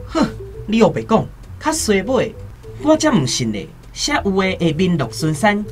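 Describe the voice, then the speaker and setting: A proud young male scholar, acted by a woman in radio-drama style. The delivery is challenging and indignant, with very lively swings of pitch. Faint music sits underneath. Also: moderate pace. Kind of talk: Taiwanese radio drama acting.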